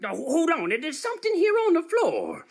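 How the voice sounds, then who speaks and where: An actor playing a smooth-talking crook who poses as a folksy American traveler, in comic live radio theatre, hesitant and evasive. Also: male voice, extremely high pitch, wildly swooping pitch, normal speed, full character voice. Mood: feigned surprise. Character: smooth-talking crooked man posing as weary, friendly traveler. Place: live theatre stage with audience.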